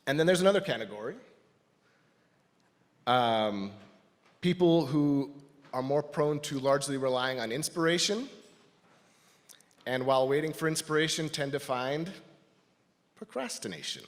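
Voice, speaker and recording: A man with a medium-pitched voice gives a personal reflection to a congregation. He speaks thoughtfully and haltingly, letting phrases trail off softly. The sound carries the long reverb of a large indoor hall. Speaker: lay speaker giving a church reflection. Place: large indoor church space.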